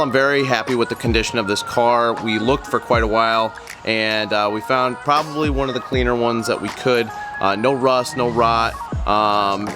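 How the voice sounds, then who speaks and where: A young man with a nasal voice from a cold, talking in an easygoing, earnest way through a casual car walkaround vlog with many ums and uhs. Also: mid-range voice. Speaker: car vlogger. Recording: music playing underneath.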